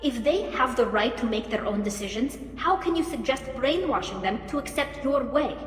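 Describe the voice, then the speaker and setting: A woman's voice: fairly high pitch, expressive, moderate pace, reverberant, in a large hall, soft background music, lightly acted. An actress playing an earnest, challenging female starship crewmate in a video game, speaking pointedly. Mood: skeptical.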